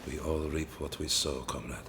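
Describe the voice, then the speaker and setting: An actor playing a stern, weary Soviet colonel in a video game cutscene, speaking in a grave, measured way. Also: male voice, extremely low pitch, steady tone, noisy background. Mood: cold, grim.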